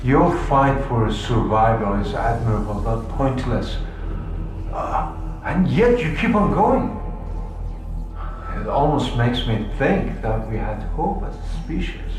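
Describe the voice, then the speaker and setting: An actor playing a fanatical mad doctor in a video game, preaching doom in a slow, grandiose and menacing way. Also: male voice, medium pitch, expressive. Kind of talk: dramatic video game villain acting. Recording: echoing hall, loud music behind.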